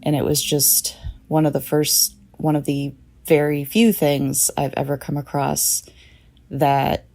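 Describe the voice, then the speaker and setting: A woman giving a listener message in a sober, steady way. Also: deep voice, creaky.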